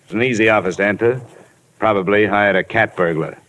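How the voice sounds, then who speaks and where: A man's voice: fairly low pitch, brisk, fairly bright tone, lightly acted. A man with an American accent acting a hard-boiled, weary insurance investigator in an old radio detective drama, clipped and wry. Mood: frustrated, grim. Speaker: lead actor and narrator. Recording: noisy old radio broadcast recording.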